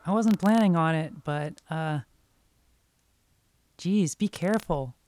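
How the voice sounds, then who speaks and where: A man's voice: fairly high pitch, takes it slowly, a little mellow, no echo. A man chatting casually as a player in a tabletop roleplaying game. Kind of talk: casual chat during a game.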